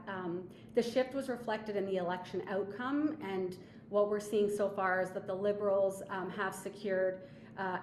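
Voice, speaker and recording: A woman presenting analysis as a webinar speaker, in a measured, explanatory and somewhat hesitant way. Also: mid-range voice. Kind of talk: slide presentation of polling analysis. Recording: echoey room with faint background noise.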